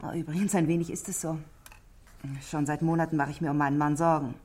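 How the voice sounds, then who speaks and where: A woman's voice: low-pitched, little pitch movement, quick pace, a little mellow. An actress in a 1960s German radio play, playing a composed, middle-aged married woman who speaks politely and articulately, confiding her worries.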